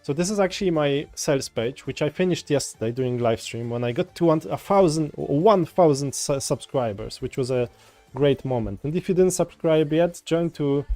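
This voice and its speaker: A man's voice: a little high, normal speed. A man from Poland with a Polish accent, chatting casually and rambling with viewers while he codes on a livestream. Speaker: coding livestreamer. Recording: faint music in background. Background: soft folk music.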